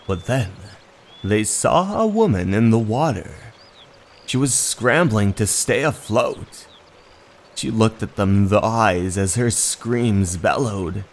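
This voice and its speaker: A man narrating a scripted horror story in a measured, dramatic way. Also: fairly low pitch, lively intonation, slight background noise. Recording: music under the voice.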